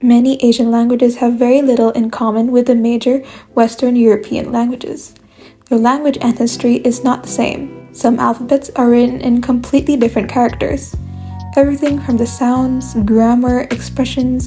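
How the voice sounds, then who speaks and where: A young woman reading a scripted video essay as its host, in a measured, even explanatory way. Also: on the high side, soft background music. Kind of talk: scripted narration.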